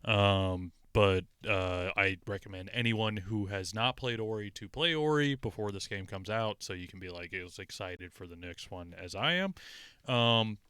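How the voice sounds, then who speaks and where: A man's voice: lowish voice, moderate pace, a bit bright, clean tone, dry recording. A man co-hosting a podcast, giving his opinions in casual chat. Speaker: podcast co-host.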